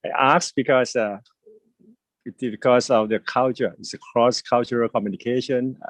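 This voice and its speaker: Older Thai man with halting speech. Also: medium pitch, steady tone, no echo. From Thailand. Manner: halting, many fillers, gestures while talking. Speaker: host. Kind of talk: casual live video chat. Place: home, by a window with plants. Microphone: earphone mic on a video call.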